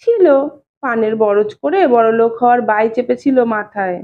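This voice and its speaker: A shy, stubborn young bachelor read by a woman in an audio story. He speaks plainly and evasively in a high voice. The recording is narrow and phone-like. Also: moderate pace, natural voice. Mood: guarded. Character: shy, stubborn young bachelor brother-in-law, reluctant to marry. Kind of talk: dramatized audiobook reading. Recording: thin phone-like narrow-band sound.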